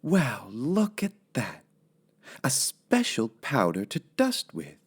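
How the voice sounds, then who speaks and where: A man's voice: medium pitch, wildly swooping pitch, husky, voice pushed up, exaggerated voice. A man voicing a cheerful, naive woman housekeeper in a children's storybook, earnest and literal-minded, musing aloud in a folksy way. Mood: delighted. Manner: slow, exclaiming, folksy musing.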